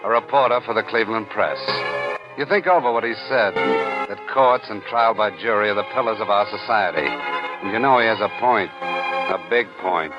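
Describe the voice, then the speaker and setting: An actor as a male storyteller from 1940s radio drama, pitched on the low side and speaking with deliberate gravity. Music plays clearly behind him in a dull vintage recording. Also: lightly acted. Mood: grave, suspenseful. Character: grave dramatic storyteller addressing the hero. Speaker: narrator. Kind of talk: 1940s radio drama narration, second person.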